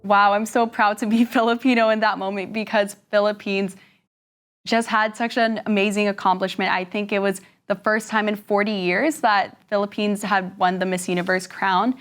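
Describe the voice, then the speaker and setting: A young woman in her late teens from Canada, a pageant titleholder, giving earnest, measured interview answers. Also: mid-range voice, level tone, soft background music.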